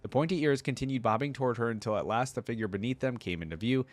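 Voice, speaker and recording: A man's voice: mid-range voice, quick pace, no echo, soft background music. A man reading a story aloud as a video host and reacting to it with informal, casual commentary.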